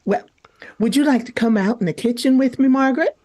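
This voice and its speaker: A woman's voice: a little high, a little fast, dark tone, natural voice. An actress playing a kindly older storekeeper's wife in a radio play, homely, motherly and a little hesitant. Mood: wistful. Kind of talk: old-time radio drama acting.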